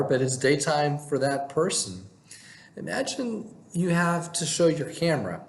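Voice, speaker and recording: A man talking casually to camera as a vlogger giving advice, with halting delivery and frequent hesitations. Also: medium pitch. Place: indoor room with plain wall, working from home. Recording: echoey room with faint background noise.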